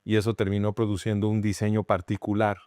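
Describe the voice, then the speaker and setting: A man's voice: fairly low pitch, monotone, a little mellow, clear, resonant tone, no echo, studio quality. A middle-aged Mexican man with a Mexican Spanish accent, an anthropologist guest who explains patiently and restarts phrases as he thinks. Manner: explanatory, measured with frequent restarts. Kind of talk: interview conversation.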